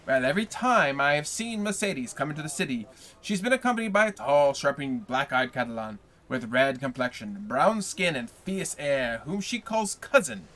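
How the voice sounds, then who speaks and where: A man reading aloud plays a blustering, envious neighbor from a storybook, all gossip and grudges. His voice comes out bright and edgy as he complains. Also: fairly high pitch, sing-song, moderate pace.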